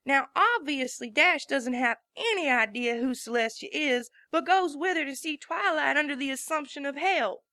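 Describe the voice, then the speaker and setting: Determined farm pony mare voiced by a woman, folksy talker, high and bright, dry. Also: animated, moderate pace, few pauses, no echo, full character voice. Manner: folksy, explaining at length. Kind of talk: fan audiobook reading.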